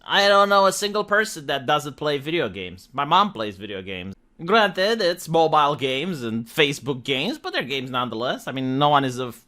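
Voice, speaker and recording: A man's voice: on the high side, bright, forceful tone. A man giving conversational, opinionated video commentary in an emphatic, critical and sarcastic manner.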